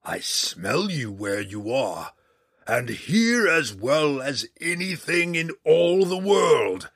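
A man voicing a huge, proud male red dragon who speaks in a slow, booming, menacing way in a storybook reading. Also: big pitch swings, a bit bright, slightly rough, dry recording, clean studio sound, heavily acted. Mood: roaring.

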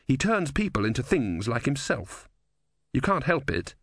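A meek, miserable monk voiced by a man. He speaks slowly and earnestly, as a storybook character in a dry recording. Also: mid-range voice, sing-song, fairly bright tone, no room sound. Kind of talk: audiobook character voice.

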